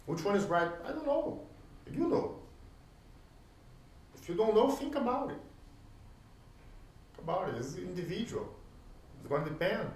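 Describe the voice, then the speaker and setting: A man whose first language is Portuguese, musing aloud as host in a reflective solo talk, pausing often and posing questions to the listener. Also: high voice, very animated, a little mellow. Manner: thoughtful, pauses often, rhetorical questions. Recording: roomy, distant from the mic, faint ambience.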